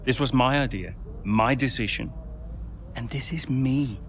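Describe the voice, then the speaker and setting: A man's voice: mid-range voice, measured pace, natural voice. Guy acting as earnest team boss in a racing game, supportive, persuasive, noisy ambience behind. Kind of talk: naturalistic game cutscene acting.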